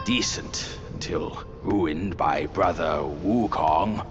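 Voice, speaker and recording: An actor playing a brash, wry creature warrior in a video game, with a sardonic, swaggering manner. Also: male voice, deep voice, very slow, muffled recording, faint music behind. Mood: wry, musing. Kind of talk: video game character acting.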